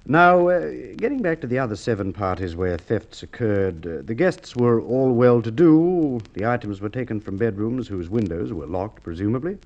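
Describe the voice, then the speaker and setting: Shrewd police inspector, muffled old radio. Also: male voice, medium pitch, sing-song, a little mellow. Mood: methodical, insinuating. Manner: measured, deliberate questioning with hesitations. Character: shrewd, formal male police inspector, coolly probing. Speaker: radio drama actor. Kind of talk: Golden Age radio drama acting. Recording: muffled vintage radio drama recording.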